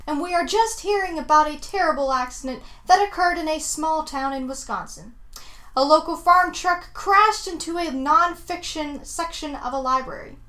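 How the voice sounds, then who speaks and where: A woman's voice: high-pitched, normal speed, bright, forceful tone. A woman co-hosting a casual show, laughing easily and filling gaps with um and uh. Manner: laughs easily, filler-heavy. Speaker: co-host. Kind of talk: casual chat and mock news reading.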